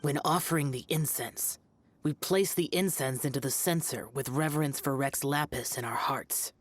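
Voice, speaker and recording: A woman playing a solemn, stern ritual master of arcane arts in a video game, speaking in a formal, grave, measured way. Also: low voice. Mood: stern, instructive. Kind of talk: video game character acting.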